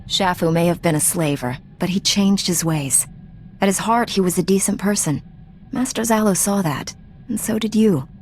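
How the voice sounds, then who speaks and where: A woman playing an earnest, principled Jedi knight in a video game, a calm leader who speaks in a measured, sincere way. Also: medium pitch, slight background noise, close to an everyday voice. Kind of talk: video game character acting.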